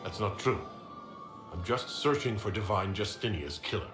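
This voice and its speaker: An actor playing a composed, courteous male leader in a fantasy video game, with a measured, polite manner. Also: lowish voice, reverberant, over music, close to an everyday voice. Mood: calm.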